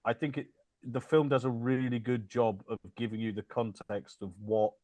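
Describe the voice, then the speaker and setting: A man's voice: fairly low pitch, dry recording, rough recording. A man co-hosting a casual podcast chat, making jokes and rambling with enthusiasm. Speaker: podcast co-host.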